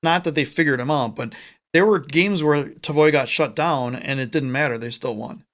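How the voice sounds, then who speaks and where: A man from the United States co-hosting a casual sports podcast over a remote call, chatty and joking, with quick asides. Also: fairly high pitch, a bit bright. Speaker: podcast co-host.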